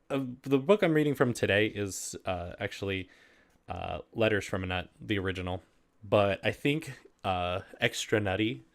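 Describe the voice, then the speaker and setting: A man's voice: low-pitched, smooth. A man casually hosting a video and reading humorous letters aloud, easily amused and prone to giggling. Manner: giggly, often breaking into laughter while reading.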